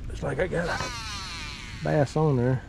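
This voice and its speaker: Gravelly-voiced man fishing from a boat. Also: fairly high pitch, measured pace, background noise. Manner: folksy, drawling, excited when fish bite. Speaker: fishing video host. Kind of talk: casual fishing vlog. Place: small boat on open lake outdoors. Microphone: action camera mounted on boat.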